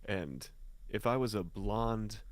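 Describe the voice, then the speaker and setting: A man's voice: lowish voice, animated, slow, no room sound. An American man chatting casually as a guest, rambling and joking as he tells stories. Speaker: musician and guest on the show. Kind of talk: casual chat, storytelling.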